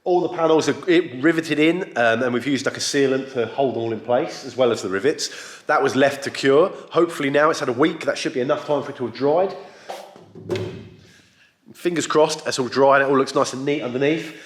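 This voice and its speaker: A man vlogging casually from his workshop, joking and self-deprecating, with a croaky voice. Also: medium pitch, a little fast. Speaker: vlogger building a coffee van. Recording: echoey workshop.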